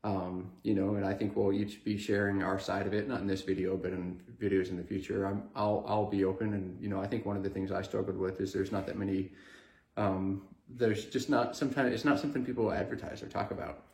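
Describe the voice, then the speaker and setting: A man's voice: deep voice, flat delivery, a little fast, a little mellow. A man in his thirties chatting casually on a video blog, hesitant, with many fillers and restarts. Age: 30s. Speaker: vlog co-host. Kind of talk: casual chat. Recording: echoey room.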